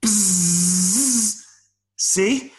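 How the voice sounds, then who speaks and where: A man voicing an excitable, pleading, somewhat vain male mayfly in an audio play, stammering politely and buzzing between phrases. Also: sits high, extremely slow. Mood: nervous, earnest.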